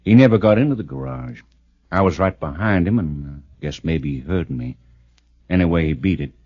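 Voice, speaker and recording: An actor playing a cool, skeptical private investigator in a 1940s radio drama, terse and noncommittal. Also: male voice, low voice, expressive, normal speed, dry recording. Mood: cool, curt. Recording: dull, dry vintage radio recording.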